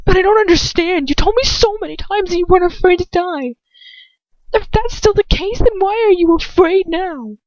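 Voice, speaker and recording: A woman's voice: very high voice, normal speed, a little mellow, no room sound, lo-fi sound, voice pushed up. A woman voicing a heartbroken young woman who pleads with her lover in an emotional, trembling story reading. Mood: anguished pleading.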